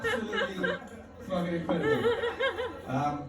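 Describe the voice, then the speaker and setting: A man's voice: very high-pitched, wildly swooping pitch, normal speed, a crowd in the background. A man from performing a stand-up set in a comedy club, wry and profane, chuckling at his own lines. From London. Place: comedy club stage, indoors. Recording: echoey club audio from far off.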